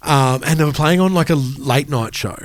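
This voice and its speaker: A man's voice: fairly high pitch, normal speed. A man co-hosting a music podcast, talking in a casual conversational style. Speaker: podcast co-host.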